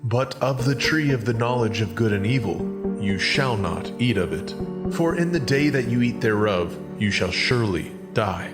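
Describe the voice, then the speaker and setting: A man voicing God in a dramatized scripture audio drama, measured and authoritative. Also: medium pitch, expressive, close to an everyday voice. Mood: solemn. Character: commanding creator God, male, solemn. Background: background music.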